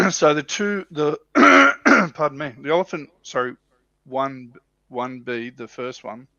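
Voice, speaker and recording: Bright, forceful man in a roomy lo-fi recording. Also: a little high, slow pace. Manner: frequent throat clearing. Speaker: livestream panelist on tabletop wargaming. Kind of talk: casual discussion, explaining slides. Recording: dull lo-fi roomy livestream audio.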